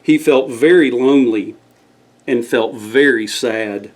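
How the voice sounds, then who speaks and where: A man's voice: medium pitch, slow pace. A man reading a scripted bedtime story aloud as the storyteller.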